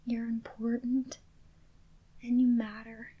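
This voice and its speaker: A woman speaking in a soft, gentle whisper, reassuring a listener in a comforting ASMR roleplay. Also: a little high, little pitch movement, slow, breathy edge, highs cut off.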